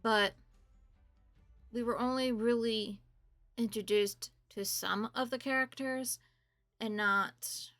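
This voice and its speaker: Bright-voiced woman game streamer. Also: a little high, steady tone, takes it slowly, pauses often, soft background music. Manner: blunt, informal, swearing. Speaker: game streamer reacting to and reviewing a demo. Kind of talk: casual gaming commentary.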